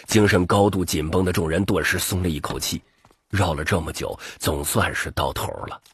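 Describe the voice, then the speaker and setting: A man's voice: extremely low pitch, breathy edge. A man narrating an audiobook in a scripted, dramatic storytelling style. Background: music.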